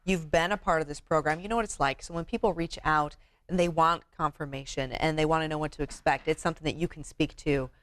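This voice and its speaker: A woman's voice: low voice, steady tone, fast, no room sound. A woman hosting an upbeat TV interview segment in a chatty, affirming way. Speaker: TV show host.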